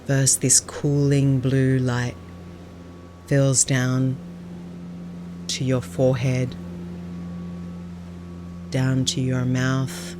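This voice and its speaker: A woman speaking as a Buddhist nun and meditation teacher, giving calm, gentle, unhurried guided meditation instruction. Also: very deep voice, background noise.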